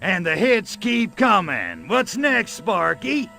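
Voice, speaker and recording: An actor playing a cocky, sarcastic, short-tempered alien invader in a video game, with a brash, slangy, gravelly delivery. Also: male voice, high voice, huge pitch swings, takes it slowly, bright, forceful tone, faint music behind, exaggerated voice. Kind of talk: video game cutscene acting.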